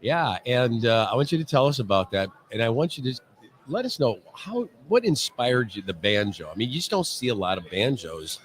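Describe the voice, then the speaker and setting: A man interviewing a guest in a casual chat, eager and quick to laugh. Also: mid-range voice. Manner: eager, laughs often, lively reactions.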